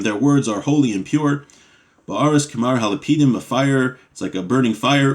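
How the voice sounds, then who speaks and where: Man teaching a religious text class. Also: medium pitch. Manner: reads Hebrew text aloud and explains it in English; conversational, frequent "right?" and "you know". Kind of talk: text study class, reading Hebrew then translating.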